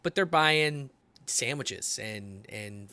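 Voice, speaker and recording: A man's voice: medium pitch, expressive, slow pace, crowd noise. A man in his late thirties hosting a podcast, talking loosely and swearing freely as he chats. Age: late 30s. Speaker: podcast host. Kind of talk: casual conversation.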